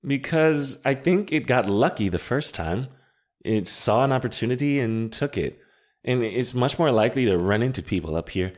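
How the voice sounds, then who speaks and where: A man voicing a calm, steady female witch in an audiobook, speaking in a measured, reassuring way. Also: medium pitch, voice pushed up, close to an everyday voice. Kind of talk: audiobook character voice.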